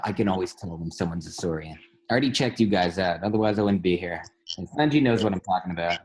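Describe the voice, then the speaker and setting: A man's voice: low voice, fast talker, close to an everyday voice, poor audio. A man playing a friendly, cocksure young roommate who earnestly spouts conspiracy theories in a comedy table read, slangy and boastful. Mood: insistent. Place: at home, remote table read.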